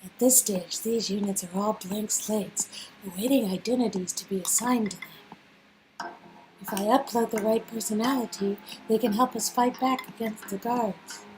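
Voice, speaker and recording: A woman's voice: medium pitch, steady tone, normal speed, background noise. A woman performing interactive game dialogue in a casual, acted read-through style. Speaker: performer reading game script.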